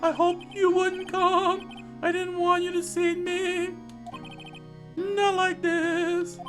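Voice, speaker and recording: A man's voice: very high-pitched, fairly even intonation, drawn-out words, over music, pitched above the performer's own voice, full character voice. A man voicing a proud, melodramatic and bitter male attorney from a video game, in a pompous, theatrical manner. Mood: wounded, dramatic. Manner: pompous, theatrical, strained outbursts. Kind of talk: video game character read-aloud.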